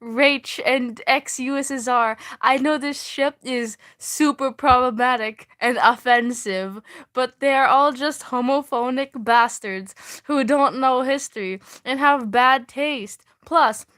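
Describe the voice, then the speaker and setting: A young woman on a live stream reads her old fan fiction aloud, stopping to mock it with swearing asides and broken-off sentences. Her voice is bright and sits a little high, and she takes her time with every line. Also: slow pace. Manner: self-mocking, swears, laughs often, breaks off mid-sentence. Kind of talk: casual livestream reading with asides.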